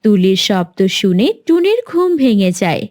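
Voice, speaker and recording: A woman's voice: medium pitch, big pitch swings, moderate pace, a little mellow. A woman narrating a scripted children's story as its storyteller.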